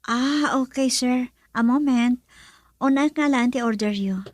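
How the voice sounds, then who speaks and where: Polite young waitress, high and bright, dry radio drama. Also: female voice, a little slow, no echo. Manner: polite, deferential service talk. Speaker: actress.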